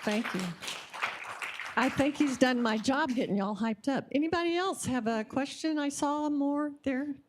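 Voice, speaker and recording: A woman moderating a public forum, brisk and upbeat as she calls on the next speakers. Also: high-pitched, slight background noise. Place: conference hall.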